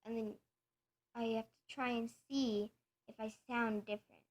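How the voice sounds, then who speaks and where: A woman's voice: on the high side, steady tone, moderate pace. A woman vlogger chatting casually to her viewers, rambling and correcting herself as she explains things. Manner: rambling, self-correcting, soft-spoken. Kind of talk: casual chat to camera.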